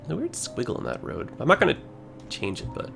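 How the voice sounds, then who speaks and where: A man casually commenting on a game he plays on a livestream, rambling with many fillers, his voice hoarse as he is losing it. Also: medium pitch, huge pitch swings, quick pace, fairly bright tone, soft background music.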